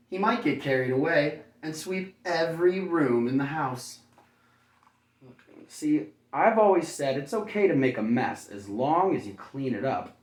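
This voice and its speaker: Echoey, playful man reading to kids. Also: mid-range voice. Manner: playful, folksy, chatty commentary. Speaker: kindergarten teacher reading a picture book aloud. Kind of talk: children's read-aloud with casual asides. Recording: roomy echo, distant microphone.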